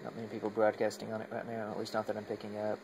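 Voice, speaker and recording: Man, even intonation, creaky voice, hesitant rambling hobbyist demo, casual, humming noisy background. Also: on the low side, racing. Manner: rambling, hesitant, trailing off mid-thought. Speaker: amateur radio hobbyist demonstrating equipment.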